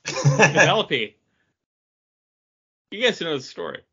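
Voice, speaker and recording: A man hosting a casual podcast, chatting and bantering in a jokey, animated way, laughing readily. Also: fairly high pitch, slow pace, breathy edge, dull audio. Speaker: podcast host.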